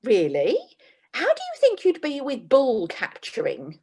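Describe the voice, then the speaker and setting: A woman's voice: sits high, wildly swooping pitch, unhurried, mellow, slight background noise, full character voice. A woman playing a businesslike, slightly weary job agent in a comic radio play. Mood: dry. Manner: businesslike, dry, faintly exasperated. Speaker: employment agent.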